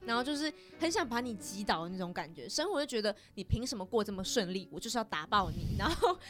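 A woman's voice: medium pitch, sing-song, quick pace, music in the background. A young woman with a Taiwanese Mandarin accent chatting casually in an empathetic, reflective way as a podcast co-host. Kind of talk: casual conversation.

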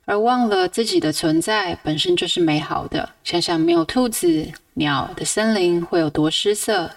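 A woman hosting a podcast, reading a scripted book review in a measured, reflective storytelling way. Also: fairly low pitch, gravelly, no echo, distant mic. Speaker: podcast host.

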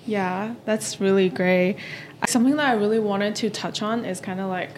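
A woman's voice: mid-range voice, steady tone, normal speed. Young woman podcast host from Taiwan, mid-range voice, loose and hesitant, faint hiss behind. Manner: casual, hesitant, many fillers like "kinda, like". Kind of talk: casual podcast interview.

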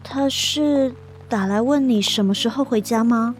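A woman's voice: on the high side, a little slow, soft background music, natural voice. A woman playing a flustered, apologetic young female office assistant in a modern comedy radio drama, hesitant and deferential. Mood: mild, polite.

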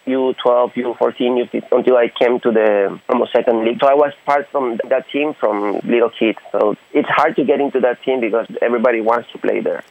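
A young man from Honduras talks through a radio interview, pausing and restarting as he searches for the right words. His voice comes through a narrow, tinny phone-line band. His pitch sits in an ordinary middle range. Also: steady tone, gravelly. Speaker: guest footballer.

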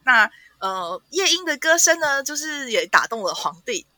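A woman with a Taiwanese Mandarin accent hosting a podcast, casually retelling a story with animated, playful asides. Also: high-pitched, normal speed, no room sound, clean studio sound. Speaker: podcast host. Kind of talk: casual storytelling and book chat.

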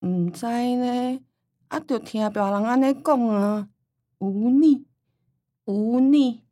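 A woman playing a forgetful, homely old grandmother in a radio drama, rambling and sighing with a Taiwanese accent and drawn-out sentence endings. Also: on the high side, mellow, no echo. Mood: wistful, rambling.

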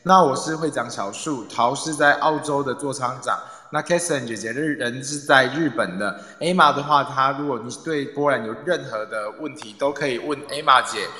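A man's voice: mid-range voice, normal speed, hardly any pauses, bright, forceful tone. A man with a Taiwanese Mandarin accent casually hosting a live online audio room, often repeating his phrases as he organizes things. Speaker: club president and host. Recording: echoey, long reverb.

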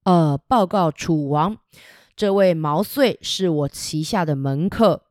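A woman's voice: on the low side, slow pace, a little mellow, no room sound, clean studio sound. A woman voicing a male nobleman who speaks effusively and exclaims with admiration. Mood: deferential.

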